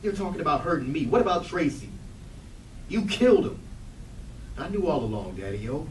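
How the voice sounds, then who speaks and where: A man's voice: fairly high pitch, sing-song, normal speed. A man playing a wounded but resolute grown son, speaking earnestly and emphatically in a dramatic stage reading. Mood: accusing. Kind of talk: stage readers theatre acting. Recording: echoey room, distant mic, background noise.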